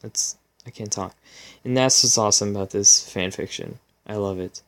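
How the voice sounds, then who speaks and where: A man's voice: on the low side, normal speed. A man hosting a casual comedic fan fiction reading, informal and amused, stumbling over his words as he goes. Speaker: channel host.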